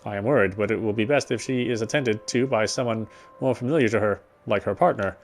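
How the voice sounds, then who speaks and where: A man's voice: fairly low pitch, brisk, a little hiss or hum. A man reading first-person story narration aloud for a visual novel game. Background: faint music.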